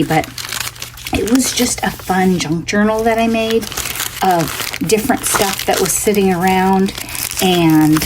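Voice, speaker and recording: A woman with a lowish, slightly scratchy voice walks viewers through a card project at her craft table. She is chatty and homey, thinking out loud as she works, and faint background noise sits under her talk. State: scratchy from a cough. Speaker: craft channel host. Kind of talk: casual crafting tutorial.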